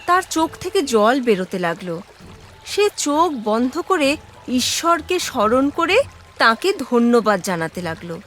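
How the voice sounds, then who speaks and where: A woman narrating a scripted story for children in an expressive way. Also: fairly high pitch, a little slow, soft background music.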